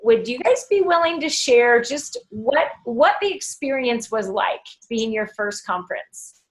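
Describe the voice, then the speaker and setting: A woman's voice: a little high, animated, unhurried, rough recording. Upbeat woman hosting a team call. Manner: upbeat, enthusiastic, with fillers. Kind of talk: casual group phone call.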